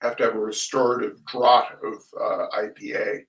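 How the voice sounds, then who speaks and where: An older man hosting a reading of humorous essays, chatting casually and reading aloud in a wry, theatrical, halting manner. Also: on the low side, flat delivery. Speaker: host of a weekly humor reading. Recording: roomy echo, distant microphone.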